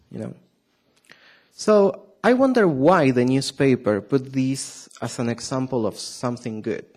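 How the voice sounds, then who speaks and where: A man with a thick Argentine Spanish accent delivers a Sabbath sermon at an unhurried pace. He halts often, restarts his sentences and fills gaps with "um". He keeps turning to the congregation with questions. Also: mid-range voice, darkish tone. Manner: hesitant, many fillers and restarts, asks the audience questions. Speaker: preacher.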